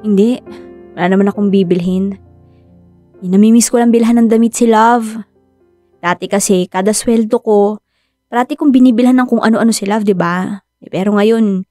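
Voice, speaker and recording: A woman's voice: mid-range voice, fairly even intonation, normal speed, pauses often, warm tone, no echo, lightly acted. An actress with a Filipino accent playing a devoted, anxious young woman who dotes on her aging dog in an emotive radio drama. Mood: worried, wistful. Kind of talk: Tagalog radio drama acting. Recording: music bed behind dry studio voice.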